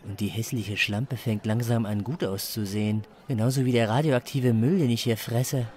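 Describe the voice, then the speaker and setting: A man's voice: medium pitch, moderate pace, darkish tone, natural voice. A man playing a crude, despairing chili judge who complains melodramatically in a comic story. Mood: agonized disbelief.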